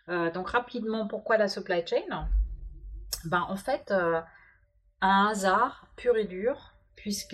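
A German-born woman in her fifties with French as a second language, talking about her career in an interview, full of pauses and fillers. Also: on the low side. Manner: hesitant, many fillers. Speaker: guest executive. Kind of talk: podcast interview.

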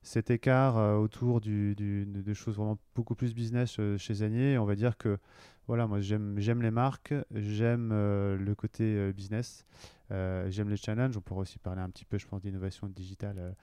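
A man's voice: fairly low pitch, flat delivery, moderate pace, mellow, clear, resonant tone, no room sound. A man in his forties, a marketing director talking through his career in an interview, hesitant with many fillers and restarts. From western France. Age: 40s. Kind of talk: conversational interview.

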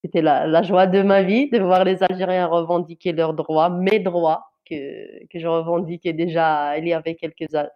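A woman journalist from Algeria whose first language is Kabyle, speaking in an interview in an earnest, halting way with many restarts and fillers. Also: on the low side, fairly even intonation, muffled recording. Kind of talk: interview answer, personal account.

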